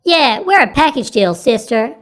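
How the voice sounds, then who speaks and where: A squeaky, bratty girl obsessed with Barbies, put on by a man, firing off cheeky questions at a very high pitch and a quick clip. Her voice sounds thin and set back from the mic. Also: big pitch swings, a bit bright, full character voice.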